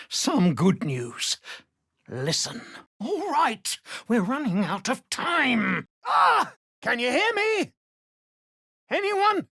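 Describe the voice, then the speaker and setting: A woman's voice: fairly high pitch, fairly bright tone, breathy edge, no echo, clean studio sound, full character voice. A woman playing a blunt, desperate and defiant gnome slave in a video game, urgent and pleading. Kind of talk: video game character acting.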